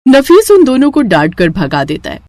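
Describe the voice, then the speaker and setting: A woman's voice: high voice, huge pitch swings, normal speed, warm tone, no echo, studio quality. A woman narrator reading a scripted moral story for children.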